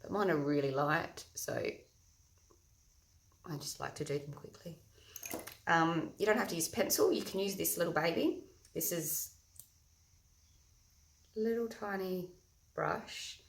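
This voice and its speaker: A woman with a loud, husky voice, chatting through a casual makeup tutorial early in the morning before her coffee. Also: lowish voice, takes it slowly, pauses often. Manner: loud, chatty, talks through each step. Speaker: makeup vlogger. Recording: echoey room.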